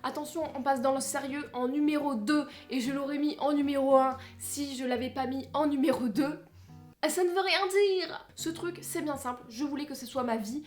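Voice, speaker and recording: Une femme à l'français qui parle face caméra comme une vlogueuse, de façon exclamative, joueuse et théâtrale. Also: female voice, sits high, normal speed, darkish tone, faint music behind. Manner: exclamatory, playful, theatrical emphasis. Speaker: vlogger presenting a countdown. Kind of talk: animated casual chat to camera.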